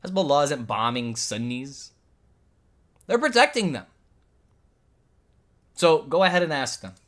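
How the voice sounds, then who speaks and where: A man's voice: on the high side, big pitch swings, unhurried. A man from Lebanon giving a heated reaction commentary, arguing emphatically and hammering the same points again and again. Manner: argumentative, emphatic, repeats points, rising to near-shouts.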